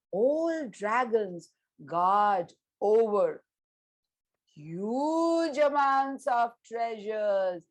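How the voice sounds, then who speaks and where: A woman telling a children's story as host, with expressive narration, dramatic pauses and emphatic word stress. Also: medium pitch.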